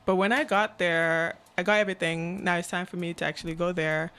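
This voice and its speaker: A woman from Cameroon in her twenties, a podcast guest talking casually and reflectively, often checking in with "right?" and pausing on "um". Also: on the low side, fairly even intonation, fairly bright tone, smooth. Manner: reflective, frequent "right?" and "um" fillers. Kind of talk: casual interview chat.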